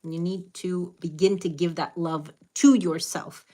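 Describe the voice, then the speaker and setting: A woman's voice: on the low side, fairly even intonation, measured pace, noisy background. A woman with a Spanish accent, Spanish her first language, giving blunt, direct, motherly advice to viewers on a live stream.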